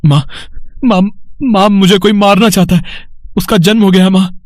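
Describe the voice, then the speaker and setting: A man's voice: sits high, fairly even intonation, moderate pace, darkish tone, no echo, clean studio sound, close to an everyday voice. An actor playing a frightened, bewildered man haunted by a witch in a horror storybook tale, repeating his words in panicky stammers. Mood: panicked.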